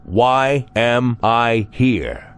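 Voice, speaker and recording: An actor playing a stubborn older fugitive ex-cop in a video game, with a blunt, insistent way of questioning. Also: male voice, mid-range voice, expressive, drawn-out words, smooth. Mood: pressing. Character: bearded old man, fugitive ex-cop pressing the sheriff, stubborn.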